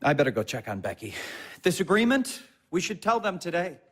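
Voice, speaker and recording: A man's voice: high-pitched, sing-song, brisk, fairly bright tone, heavily acted. A man playing an earnest young husband, hesitant and conscientious, in a naturalistic audio drama. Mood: firm, frustrated.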